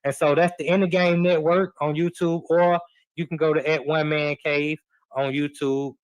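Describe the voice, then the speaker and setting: A man's voice: on the high side, little pitch movement, normal speed, clean tone, no room sound, low-quality recording. A middle-aged man talking sports casually as a podcast guest, loose and encouraging, with many restarts. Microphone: remote live stream.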